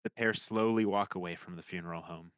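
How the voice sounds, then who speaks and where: Deep-voiced man reading stage directions. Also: steady tone, brisk, clean tone, no room sound. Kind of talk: scripted screenplay narration at a table read.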